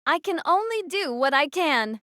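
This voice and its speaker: A woman's voice: high-pitched, sing-song, normal speed, a bit bright, dry recording, studio quality, voice pushed up. A woman voicing a determined young female platoon captain in an anime-style audio drama, with urgent, strained delivery. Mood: resolute.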